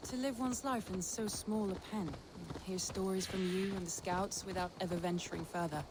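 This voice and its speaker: An actress playing a spirited, restless Viking woman in a video game, wry and expressive. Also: medium pitch, normal speed, background noise, close to an everyday voice. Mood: wistful wonder. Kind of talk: video game dramatic acting.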